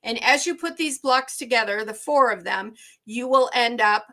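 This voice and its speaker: A slightly hoarse woman hosting a live online quilting workshop, chatty and upbeat, with frequent ums. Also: on the high side, normal speed, bright, forceful tone.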